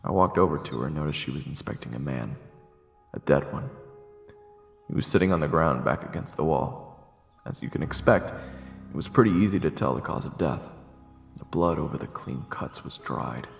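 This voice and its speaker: A man's voice: very deep voice, animated, moderate pace, creaky, long pauses. A man reading scripted first-person story narration for a fantasy audio drama. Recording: echoey, long reverb, faint music behind. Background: music.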